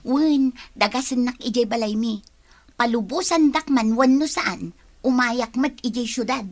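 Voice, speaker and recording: Woman playing a highish-voiced determined village girl. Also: unhurried. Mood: plaintive, determined. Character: determined young village woman wanting work and school. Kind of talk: dialogue in an amateur audio drama.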